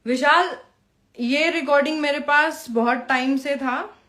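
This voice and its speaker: A woman's voice: sits high, a little slow, fairly bright tone. A young woman speaks informally to a live-stream audience. She is pointed and taunting, calling people out directly. English phrases slip into her talk. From Bihar, India. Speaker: Bhojpuri performer addressing followers on a live stream. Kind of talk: informal live-stream monologue, personal call-out.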